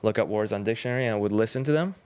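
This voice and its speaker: A man's voice: lowish voice, very fast, a little mellow, smooth, no room sound. A man in his twenties from Uzbekistan, a native Russian speaker, telling his own story casually and informally as a podcast guest. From Tashkent, Uzbekistan. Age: 20s. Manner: informal, slangy.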